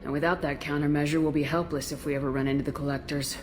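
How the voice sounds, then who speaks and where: A woman's voice: deep voice, little pitch movement, fast talker, over music, close to an everyday voice. A woman playing a serious, direct military starship commander in a video game, blunt and businesslike. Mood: serious, inquiring. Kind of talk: scripted video game dialogue. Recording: clear background noise, ship ambience.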